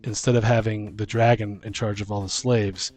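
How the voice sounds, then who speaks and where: A man casually brainstorming fantasy lore as a podcast host, thinking aloud in halting, repeated phrases. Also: fairly low pitch, level tone, darkish tone, faint music behind.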